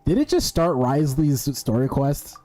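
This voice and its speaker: A man's voice: on the high side, sing-song, measured pace, music in the background. A young man giving casual, slangy, sweary reactions while streaming a game. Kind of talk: casual reacting playthrough commentary.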